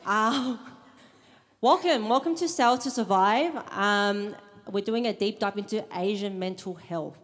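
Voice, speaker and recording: A woman's voice: mid-range voice, a little slow. A young woman hosting a live event, chatty and a bit nervous, with lots of ums. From Australia. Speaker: event host. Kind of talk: live event welcome speech. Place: event room with audience.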